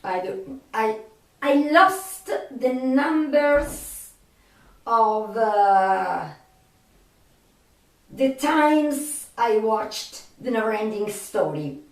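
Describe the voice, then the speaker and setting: A woman with a non-native English accent talking casually to viewers as a film fan, in a halting way with drawn-out pauses. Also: on the high side, lively intonation. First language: not English. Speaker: video host, film fan. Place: home studio. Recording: echoey room, distant microphone.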